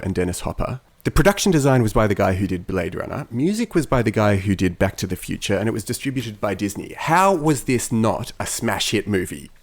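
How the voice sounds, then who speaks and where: A man co-hosting a podcast, explaining film history conversationally in long, run-on thoughts. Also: on the low side. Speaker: podcast co-host.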